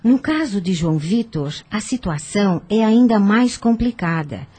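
A woman playing a calm, wise spiritist counsellor in a radio drama, with measured, gentle, didactic delivery. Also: mid-range voice, breathy edge. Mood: grave, explanatory.

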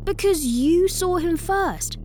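A woman's voice: very high-pitched, expressive, slow pace, darkish tone, slight background noise, full character voice. A woman playing a bossy, urgent young child in a children's audio drama. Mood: urging.

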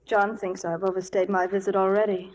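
A woman's voice: mid-range voice, level tone, a little fast, natural voice, rough recording. Actress as composed, refined young Eastern lady. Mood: wistful, proud. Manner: polite, composed, well-spoken. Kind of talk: 1940s radio acting.

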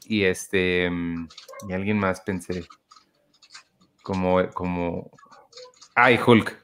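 A man's voice: low-pitched, very slow, slight background noise. A man hosting a podcast, chatting casually about films with friends and using lots of fillers. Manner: relaxed, chatty, filler-heavy. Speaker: podcast host. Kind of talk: casual film review chat.

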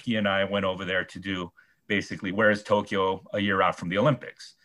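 A man, a sports columnist guest, answering interview questions casually with many pauses, fillers and restarts. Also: sits low, flat delivery, a bit bright.

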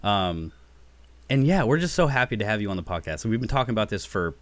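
A man hosting a casual podcast, upbeat and easygoing, with frequent ums. His voice sits a little low. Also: very animated, fast, dry recording. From United States. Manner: laid-back, upbeat, frequent fillers and laughs. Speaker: podcast host. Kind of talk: casual chat.

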